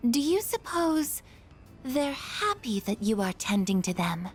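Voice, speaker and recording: A gentle, literal-minded female android in a video game. Her voice is fairly high and lilting, with formal, precise phrasing and earnest curiosity, over music. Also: sing-song, unhurried. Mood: curious, earnest. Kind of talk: English video game dub acting.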